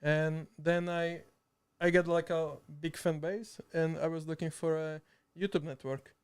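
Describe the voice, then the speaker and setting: A Slovak man chatting in halting, hesitant English, full of restarts and laughter, sitting a bit far from the mic. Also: a little high, steady tone, clear, resonant tone. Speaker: streamer and video editor guest. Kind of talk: casual chat. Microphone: too far from the mic.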